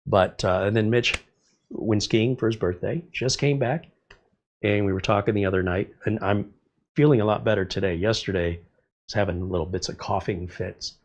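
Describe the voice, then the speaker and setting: A man's voice: lowish voice, normal speed. Congested middle-aged man chatting on a livestream. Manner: rambling, chatty, reads viewer comments aloud. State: slightly congested. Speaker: livestream host. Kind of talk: casual livestream chat.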